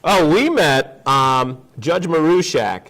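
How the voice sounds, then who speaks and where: A man's voice: on the high side, expressive, takes it slowly, a bit bright. A man in his forties speaking as a guest on a casual talk show, telling stories in a joking way. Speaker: guest, district judge.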